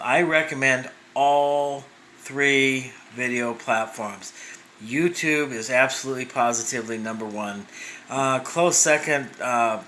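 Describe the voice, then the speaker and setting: A man's voice: medium pitch, sharp tone, slight background noise, poor audio. A man in his fifties telling a personal story as a vlogger, rambling with many restarts and fillers. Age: 50s.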